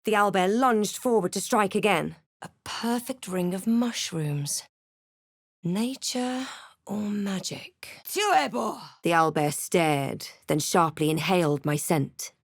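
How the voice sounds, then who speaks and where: A woman voicing a snarky, proud female adventurer and spellcaster in a fantasy video game, with crisp, theatrical delivery. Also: mid-range voice, slow, no echo, studio quality. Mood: wary, curious, then commanding incantation. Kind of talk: scripted game character lines and narration.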